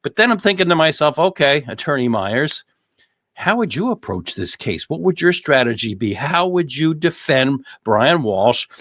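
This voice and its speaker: A man's voice: on the high side, very animated, moderate pace, a bit bright, no echo, clean studio sound. A man hosting a legal commentary show, talking conversationally, often repeating phrases and posing rhetorical questions.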